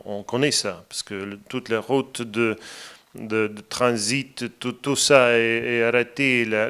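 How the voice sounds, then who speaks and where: Slow, halting Latvian-accented man. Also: medium pitch, a bit bright, smooth. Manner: halting, searching for words, many pauses. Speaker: interview guest, parliament president. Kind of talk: radio interview.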